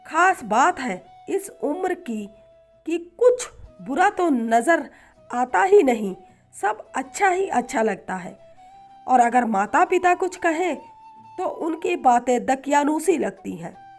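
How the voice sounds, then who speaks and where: A woman narrating a scripted moral story in a measured, dramatic storytelling manner. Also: sits high, sing-song, soft background music.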